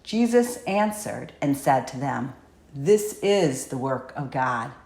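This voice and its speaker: Woman, deep register, scripted scripture narration, measured solemn recitation, echoing room sound. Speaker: audiobook narrator.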